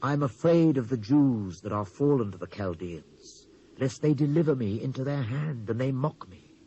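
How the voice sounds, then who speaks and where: A man's voice: medium pitch, expressive, moderate pace, warm tone, breathy edge. Dull cassette sound, British man as uneasy wavering king, formal regal phrasing, scripture drama. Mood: fearful. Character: uneasy, wavering male king of ancient Judah. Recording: dull lo-fi old cassette transfer.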